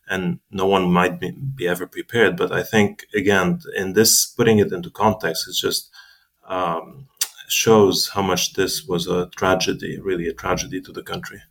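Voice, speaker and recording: A man, a physician guest on a panel, giving measured answers and repeating phrases for emphasis. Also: sits low, vocal fry, a bit bright. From Lebanon.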